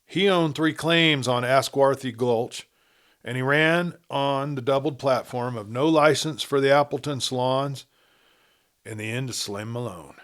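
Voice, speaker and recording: A man with a medium-pitched voice narrates a western tale like an audiobook storyteller. His delivery is measured and dramatic. He swells on emphatic phrases and lets the ends of sentences trail away. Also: a bit bright.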